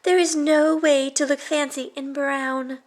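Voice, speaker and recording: An excitable little girl from a picture book, played by a woman reader, high in pitch. She speaks with theatrical emphasis and a flair for fancy, dramatic phrasing. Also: a little slow. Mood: dismayed.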